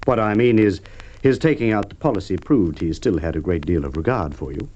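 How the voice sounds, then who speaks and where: An actor playing a shrewd, polite police inspector in a Golden Age radio drama, formal, deliberate and insinuating. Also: male voice, low voice, animated, dark tone. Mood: polite, probing. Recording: muffled, noisy old radio recording with clicks.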